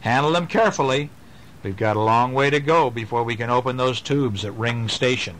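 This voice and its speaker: A man's voice: mid-range voice, brisk, fairly bright tone, close to an everyday voice. A man voicing a confident young male space hero in a bedtime story read aloud. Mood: commanding.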